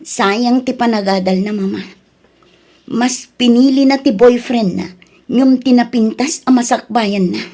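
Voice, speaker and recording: A concerned young Ilocano daughter in an audio drama. Her voice is very high yet mellow, with soft, dark edges. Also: unhurried. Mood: alarmed, dismayed.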